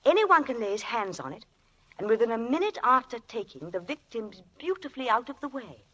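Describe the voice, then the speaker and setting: A woman's voice: fairly high pitch, very animated, fairly quick, a bit bright, poor audio. An actress playing a worldly, self-assured lady crime novelist in a vintage radio drama, arch and teasing. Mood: confiding, relishing. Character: worldly, self-assured woman mystery writer.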